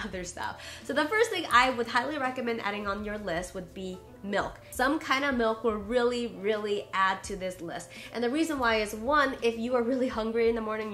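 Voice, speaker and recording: A woman's voice: fairly high pitch, a bit bright. A young adult woman vlogging to camera in a chatty, rambling, upbeat way, her voice nasal and stuffed up from a cold. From USA. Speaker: YouTuber. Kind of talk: casual vlog talk to camera.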